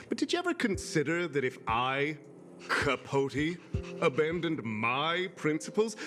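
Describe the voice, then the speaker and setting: A man's voice: medium pitch, a bit bright. A man voicing a vain, theatrical male professor in a video game, with a pompous, flowery delivery. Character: vain, melodramatic male professor, self-styled charming idol. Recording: game music behind the voice.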